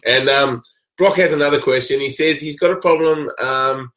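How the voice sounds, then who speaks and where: A man with a raspy voice from a cold, co-hosting and reading viewer questions in an easygoing, joking way. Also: medium pitch, moderate pace, fairly bright tone, poor audio. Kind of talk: casual chat.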